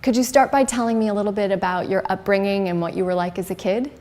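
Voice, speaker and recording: A woman hosts an interview, reading a prepared introduction in a formal, polished manner. Her voice sits at a medium pitch, and her questions are plain and steady. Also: fast. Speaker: interviewer, host.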